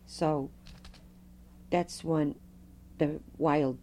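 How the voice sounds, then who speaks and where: A middle-aged woman, a Fado singer, telling stories with a strong, loud voice in an interview. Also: deep voice, extremely slow, a little hiss or hum. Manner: animated storyteller, strong loud voice. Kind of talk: oral history interview.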